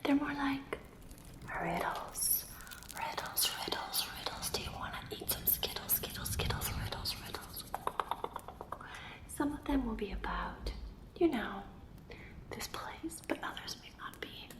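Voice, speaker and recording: A woman's voice: high voice, animated, measured pace, long pauses, reverberant. A woman voicing a playful, teasing ghost who poses riddles in an ASMR roleplay, repeating words over and over in a coaxing way. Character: playful, teasing female ghost guarding a secret passage with riddles.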